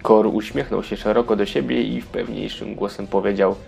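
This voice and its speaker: A man's voice: on the low side, fairly even intonation, brisk, vocal fry, darkish tone, faint music behind. A man narrating a children's audio fairy tale in a scripted storybook style.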